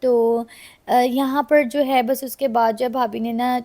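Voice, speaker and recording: A slightly hoarse woman with a Pakistani Urdu accent, chatting casually to viewers in a cooking vlog voice-over. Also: on the high side, fairly even intonation, measured pace, darkish tone. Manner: chatty, addresses viewers directly. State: slightly hoarse from a sore throat. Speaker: vlogger.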